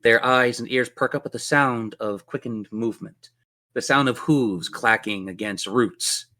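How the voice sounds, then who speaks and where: Man, game master, tabletop role-play narration, theatrical, descriptive, medium-pitched. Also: unhurried, pushed and bright.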